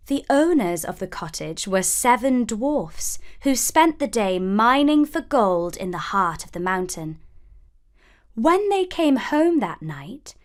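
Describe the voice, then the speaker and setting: A woman narrating a scripted children's fairy tale as a storyteller, expressive and deliberate. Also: fairly high pitch.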